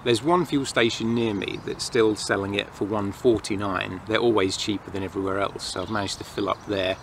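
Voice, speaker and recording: English male vlogger, lowish voice, casual reflective monologue, clear outdoor background noise. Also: fairly even intonation, fairly quick. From England. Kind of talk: casual rambling monologue to camera. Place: outdoors in a pine forest. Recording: noisy outdoor ambience, distant planes and traffic.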